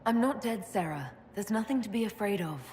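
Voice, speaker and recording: An actress playing an earnest, compassionate heroine in a video game, sincere and reassuring. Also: female voice, mid-range voice, animated, brisk, a little mellow, natural voice. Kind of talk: dramatic video game cutscene acting. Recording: echoey hall, faint noise.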